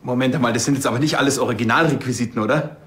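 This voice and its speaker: An actor playing a naive, slow-witted police sidekick in a television crime comedy. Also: male voice, mid-range voice, fairly bright tone, close to an everyday voice. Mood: puzzled.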